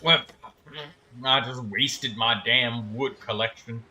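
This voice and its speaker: A man giving casual, excitable, swearing commentary while streaming a video game. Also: medium pitch, slow. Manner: profane, excitable trash talk. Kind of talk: casual live gameplay commentary.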